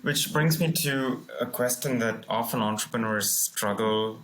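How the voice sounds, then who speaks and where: A man's voice: medium pitch, measured pace, a bit bright, rough recording. A man in his forties hosting a video call interview on a headset, conversational and affirming. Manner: conversational, frequent backchannels. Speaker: podcast host.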